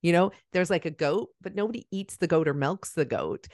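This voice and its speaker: Low-voiced woman hosting a podcast. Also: expressive, a little fast, a little mellow, no echo, clean studio sound. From Malawi. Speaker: podcast host. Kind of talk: casual interview.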